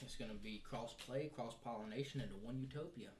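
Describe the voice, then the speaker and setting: A man's voice: mid-range voice, a little fast, a little mellow. A man hosting a casual group chat, giving opinions in slangy, joking language, recorded in a roomy space. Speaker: podcast host. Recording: roomy sound with background noise.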